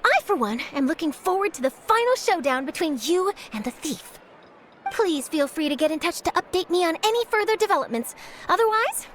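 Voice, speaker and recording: An actress playing an eager, inquisitive young female journalist who talks in a bright, chirpy way. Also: extremely high pitch, a little hiss or hum, exaggerated voice. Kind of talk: video game dialogue.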